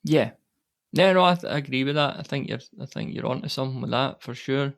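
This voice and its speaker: A man's voice: mid-range voice, fast, vocal fry. A man interviewing a guest in a conversational way as a podcast host.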